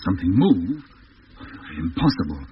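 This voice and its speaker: An actor playing a resolute, skeptical rocket ship commander in a 1950s radio drama. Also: male voice, low-pitched, very animated, moderate pace. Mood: brisk, dismissive. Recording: muffled, noisy 1950s radio recording.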